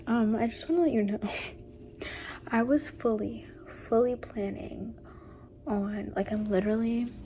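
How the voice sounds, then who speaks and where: A woman with a congested voice talks straight to viewers in a casual voice-over, chatty and a little exasperated. Her tone is dark and mellow, with a gravelly fry running through it. Faint noise sits behind her. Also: medium pitch. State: sick and congested. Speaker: video editor voice-over.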